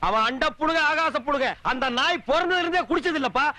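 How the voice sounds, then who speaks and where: An actor playing a loud, sharp-tongued village man who mocks and harangues everyone in a film comedy. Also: extremely high pitch, fast talker, no echo, heavily acted. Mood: mocking. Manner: haranguing, sarcastic, insulting. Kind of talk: slapstick Tamil film comedy dialogue.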